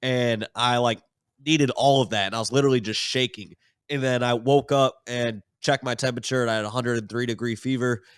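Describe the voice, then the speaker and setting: A man on a podcast as a guest, sounding stuffed-up and nasal from being sick, telling his story in a relaxed, rambling way. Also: mid-range voice, brisk, clean tone, no room sound, studio quality. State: congested, nasal from illness. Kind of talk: casual podcast chat.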